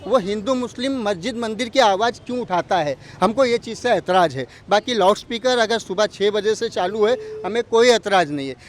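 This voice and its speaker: A man's voice: sits high, a little fast, few pauses. A man giving an emphatic, argumentative opinion in a street interview, pressing points with rhetorical questions. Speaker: local community representative interviewed on the street. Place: outdoors on an urban street. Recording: outdoor street noise with traffic.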